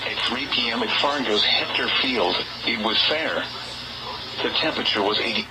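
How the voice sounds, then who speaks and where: A man's synthetic voice reads a scripted weather bulletin in a formal, measured way over a weather radio broadcast. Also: mid-range voice, over loud music, rough recording. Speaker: automated weather radio announcer.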